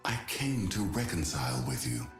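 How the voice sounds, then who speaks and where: An ancient, noble jackal warrior god from a video game. The voice is extremely deep and booming, grave and measured with grief. Faint music sits behind it. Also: male voice, big pitch swings. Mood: solemn sorrow. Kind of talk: video game character voice lines.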